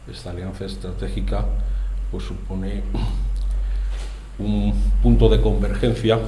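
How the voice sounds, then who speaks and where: A man gives a formal speech in a university hall. His voice is lowish, mellow and quite flat, and he keeps repeating phrases. The sound is roomy, with a faint hiss behind him. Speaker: institute director. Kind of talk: formal speech at an agreement signing.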